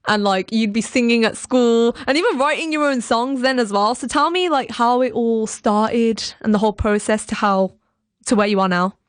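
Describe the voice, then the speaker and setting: Young woman doing a casual radio interview, bit high voice, no room echo. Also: moderate pace.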